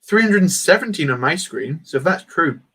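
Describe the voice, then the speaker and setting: A man's voice: on the high side, expressive, moderate pace, a bit bright, slightly rough. A man hosting a casual livestream, rambling and answering chat comments while he builds. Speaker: livestream host.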